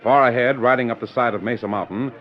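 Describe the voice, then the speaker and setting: A man with a mid-pitched voice gives formal, dramatic narration for an old radio western. The recording is muffled, with clear music behind him. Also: fast.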